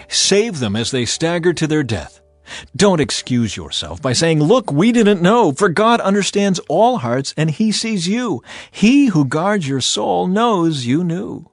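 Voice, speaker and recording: A man narrating a dramatized audio Bible in an expressive, measured, solemn and exhortative way. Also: mid-range voice, no echo. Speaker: scripture narrator. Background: background music.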